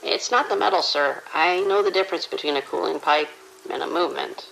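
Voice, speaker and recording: An actor playing a frightened, overworked morgue attendant in an audio drama, uneasy and insistent. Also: male voice, fairly high pitch, a little fast, gravelly, pushed and bright, a little hiss or hum, poor audio. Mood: scared, insistent. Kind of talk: radio drama acting.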